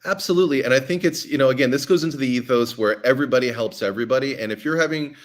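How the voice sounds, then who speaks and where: A man's voice: mid-range voice, rapid-fire. A man speaking as a guest on a podcast, chatty and giving advice with frequent ums and restarts. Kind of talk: casual podcast conversation.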